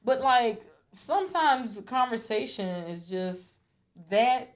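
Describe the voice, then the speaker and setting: A woman's voice: on the high side, slow pace. A woman in her thirties, a podcast host chatting casually and acting out the voices in her stories. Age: 30s. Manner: animated storyteller, acts out quoted voices. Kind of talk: casual chat.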